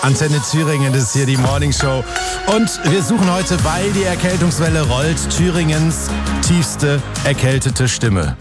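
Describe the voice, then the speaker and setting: A man's voice: on the high side, moderate pace, over loud music. A man hosting a radio morning show, announcing call-in numbers and upcoming events. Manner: upbeat, announcing phone numbers and promos. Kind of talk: radio moderation.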